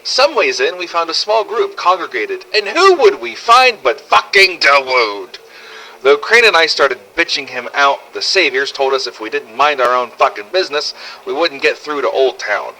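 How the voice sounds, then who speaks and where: A man's voice: a little high, lively intonation, moderate pace, bright, forceful tone, exaggerated voice, low-quality recording. A man playing a brash, foul-mouthed survivor of a zombie apocalypse, telling a scripted audio log in a blunt, sardonic, conversational way. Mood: angry, exasperated. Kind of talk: scripted first-person audio log narration.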